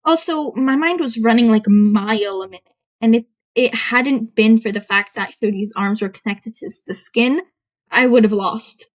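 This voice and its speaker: A woman's voice: a little high, moderate pace, natural voice. A woman voicing a teenage boy hero student, evasive and self-justifying, in an amateur audiobook. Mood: defensive, explaining. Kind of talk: fan fiction audiobook character voice.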